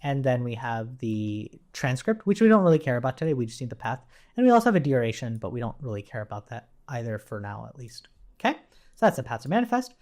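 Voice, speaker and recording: A man's voice: medium pitch, very animated, quick pace, a little mellow. A man giving a casual, explanatory coding tutorial, thinking aloud in an informal way as he works. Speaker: programming tutorial instructor.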